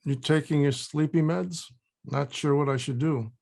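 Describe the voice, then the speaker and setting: A man's voice: fairly high pitch, fairly even intonation, moderate pace, creaky, a little mellow. A man playing a laid-back, folksy pal with a menacing side in a table read. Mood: resigned.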